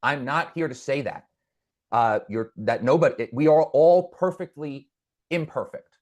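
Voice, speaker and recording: A man's voice: mid-range voice, normal speed, darkish tone. A man guest in an interview, speaking earnestly and reflectively, with a stutter that brings repeated words and restarts. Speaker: podcast guest. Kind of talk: conversational interview.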